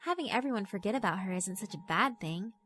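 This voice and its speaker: A woman's voice: medium pitch, animated, fast talker, fairly bright tone, faint music behind, lightly acted. A woman voicing a mischievous, predatory girl spirit in a horror visual novel, teasing and politely condescending. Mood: composed. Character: mischievous, predatory yokai schoolgirl, doll-like, elegant.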